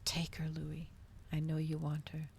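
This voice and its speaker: A woman voicing a calm, persuasive male vampire in a soft, coaxing ASMR story reading. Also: low-pitched, measured pace, creaky, a little hiss or hum.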